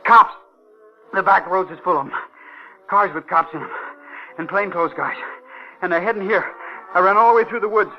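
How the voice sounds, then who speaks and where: Dull vintage radio, man as jittery eager country kid, high voice, deferential, 1950s radio acting. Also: moderate pace, long pauses, faint music behind, lightly acted. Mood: breathless alarm. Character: nervous, eager country boy, deferential informant.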